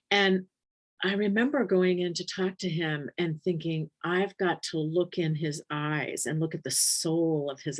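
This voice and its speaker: A woman with a very low voice, teaching a nursing webinar in a casual, thinking-aloud way with many ums and restarts. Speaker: palliative care nurse educator. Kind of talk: casual webinar teaching, reading chat questions.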